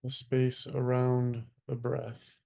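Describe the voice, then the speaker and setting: A man guides a meditation in a very slow, even voice with a gravelly creak. He speaks in short, hushed phrases and leaves long pauses between them, unhurried throughout. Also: mid-range voice. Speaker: meditation teacher leading a group.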